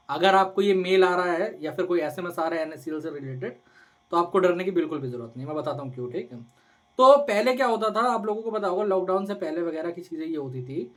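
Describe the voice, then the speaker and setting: High-pitched man with sore throat. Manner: conversational, reassuring, asks and answers own questions. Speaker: loan advice video host. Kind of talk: informal explainer to camera audience.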